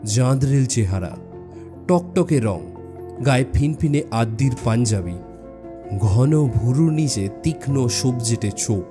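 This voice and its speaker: A man narrating a suspense story in a measured, dramatic way with deliberate pauses. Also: medium pitch, animated. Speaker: audiobook narrator. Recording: music bed behind the voice. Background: background music.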